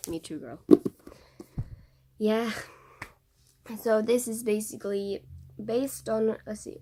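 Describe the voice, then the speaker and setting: A woman's voice: medium pitch, dark tone. A young woman from Norway with a Norwegian accent, speaking English as a second language, chatting casually and reading passages aloud from a book, with many fillers and restarts. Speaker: vlogger.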